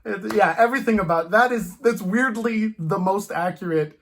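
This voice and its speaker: A man chatting casually about films as a host, in an animated, rambling fan's way. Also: high-pitched, moderate pace, creaky, a little hiss or hum.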